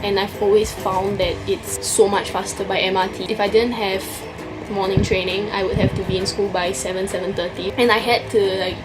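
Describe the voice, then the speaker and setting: A woman's voice: mid-range voice, level tone, brisk, low-quality recording. Young Singaporean woman vlogger, casual question-tag chat, Singaporean English accent, music playing underneath. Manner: chatty, answers questions from a list.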